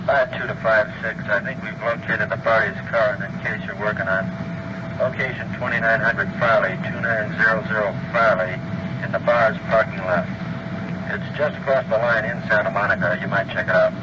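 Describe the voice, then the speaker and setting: A man's voice: fairly low pitch, steady tone, normal speed. An American man speaking as a police radio operator, clipped and official. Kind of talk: formal radio dispatch. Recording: dull police radio, clear background noise.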